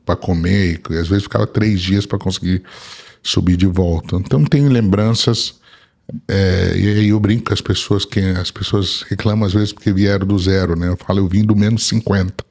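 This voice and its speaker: A middle-aged man with a very low, deep voice, Arabic as his first language. He speaks slowly and reflectively, stumbling over and repeating words as he searches for what to say. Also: steady tone, gravelly, darkish tone. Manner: halting and reflective, many restarts and repeated words, keeps adding "né?". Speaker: podcast guest, entrepreneur. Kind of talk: personal storytelling in a conversation.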